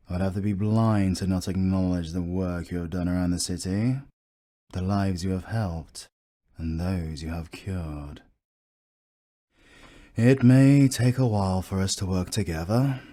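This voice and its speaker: A proud British captain , acted by a man, from a fantasy audio drama. His voice sits low and mellow. He speaks formally and with measured care, stiff but courteous. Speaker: voice actor. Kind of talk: romantic fantasy audio roleplay acting.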